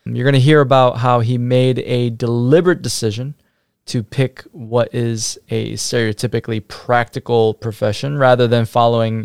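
Gravelly-voiced man hosting a casual podcast. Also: mid-range voice, no echo, clean studio sound. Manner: easygoing, encouraging with short backchannels. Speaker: podcast host. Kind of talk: casual interview.

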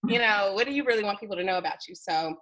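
A woman in her thirties introducing herself as a podcast co-host, lively and playful, laughing often. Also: medium pitch, rapid-fire, poor audio. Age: 30s. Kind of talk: casual self-introduction.

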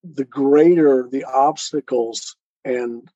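A man's voice: medium pitch, slow, warm tone, no echo, highs cut off. A man talking as a guest author in a conversational interview, earnestly explaining and posing rhetorical questions. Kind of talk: conversational interview talk on life choices.

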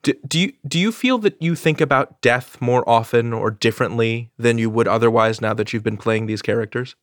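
Male podcast host; curious interviewer, mid pitch, dry recording. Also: fairly quick, no room sound. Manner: curious, asks questions with small restarts.

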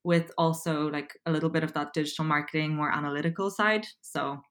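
A woman's voice: sits low, steady tone, fairly quick, fairly bright tone. A woman in her twenties with an Irish accent, chatting casually as an interview guest and filling her talk with likes and ums. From Poland. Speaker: guest, copywriter.

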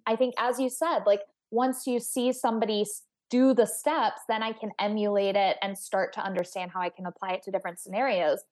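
A woman's voice: a little high, a little mellow. A young adult woman from Texas, an astrophysicist speaking as an interview guest in a chatty, candid and reflective way. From United States (Texas). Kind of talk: podcast interview conversation.

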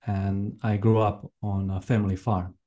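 A man in his thirties from Croatia with a Croatian accent, telling his own story conversationally as an interview guest, in halting, reflective non-native English. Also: lowish voice, level tone, mellow. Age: 30s. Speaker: podcast guest, permaculture farmer and educator. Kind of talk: conversational personal account.

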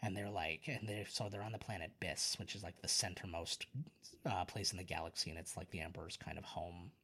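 A man's voice: low voice, steady tone, fairly quick, pushed and bright, husky. A man hosting a podcast, chatting casually and recounting lore in a rambling, enthusiastic, sweary way. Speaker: podcast host.

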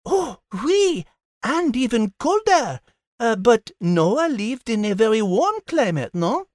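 Lady doing a smart cat hostess, high voice, no room echo, patient and prim. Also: sing-song, slow, darkish tone, clean studio sound. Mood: explaining. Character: smart female cat co-host, French manner. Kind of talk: scripted podcast banter.

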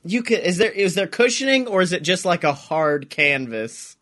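Male comic co-host: high-pitched, animated intonation, excitable riffing, casual and sweary. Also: normal speed. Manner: excitable, jokey, profane. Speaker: podcast co-host.

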